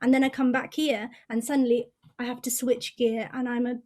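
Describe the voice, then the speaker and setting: Thoughtful woman with a higher voice. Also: little pitch movement. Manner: thoughtful, measured, sometimes trails off softly. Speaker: podcast guest. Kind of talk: conversational podcast discussion.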